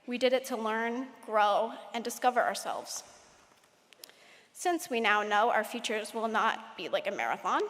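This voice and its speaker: A woman's voice: on the high side, fairly bright tone. Teenage girl giving a graduation speech in a hall. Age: late teens. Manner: measured, lightly humorous delivery. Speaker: valedictorian speaker. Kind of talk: prepared commencement speech. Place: large hall at a graduation ceremony. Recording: echoey hall with long reverb.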